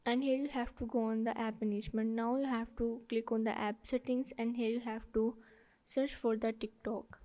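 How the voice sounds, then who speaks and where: High-pitched, mellow woman giving instructions. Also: flat delivery, moderate pace. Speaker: tutorial presenter. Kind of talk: step-by-step screen tutorial narration.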